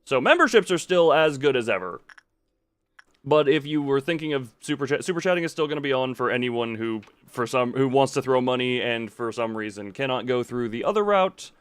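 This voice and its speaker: A man's voice: mid-range voice, animated, a little fast, clean tone, no room sound. A man giving casual livestream commentary on a game, rambling and breaking off his own sentences with wry asides. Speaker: video game streamer.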